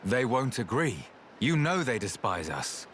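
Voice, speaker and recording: A man's voice: medium pitch, big pitch swings, measured pace, a bit bright, slight background noise. A man playing a steadfast, sceptical soldier ally in a video game cutscene. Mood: grave.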